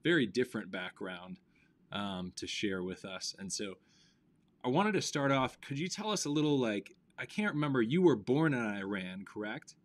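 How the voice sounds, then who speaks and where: A man hosting a weekly live-stream interview, talking casually with many pauses and fillers. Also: on the low side. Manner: relaxed, hesitant with many fillers. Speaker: host of a weekly live show.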